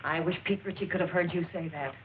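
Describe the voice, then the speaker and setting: An actress playing a brassy, defiant small-time crook in a film noir crime drama, with tough, sarcastic, slangy speech. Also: female voice, fairly low pitch, quick pace, narrow, tinny audio, slight background noise, lightly acted. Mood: indignant.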